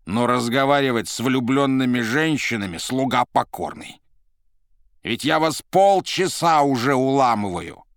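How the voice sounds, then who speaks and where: An irritable demonic emissary from a storybook acted out by a man, gruff and bossy. He gives orders with sardonic exasperation and snaps when crossed. The sound is close and dry. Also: deep voice, fairly even intonation, normal speed, no echo. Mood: exasperated. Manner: commanding, exasperated, hissing and yelling when crossed. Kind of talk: dramatised audiobook character voice.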